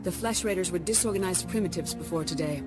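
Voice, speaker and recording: An actress playing the serene female leader of the Jedi Council in a video game, with a measured manner. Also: lowish voice, natural voice. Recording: game cutscene with music behind.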